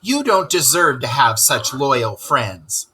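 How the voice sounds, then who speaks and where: A man's voice: medium pitch, sing-song, slow, sharp tone, breathy edge, heavily acted. A man voicing a sensible, good-natured but scolding water rat in a children's story, with a reproachful, admonishing manner. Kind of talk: animated children's storybook character reading.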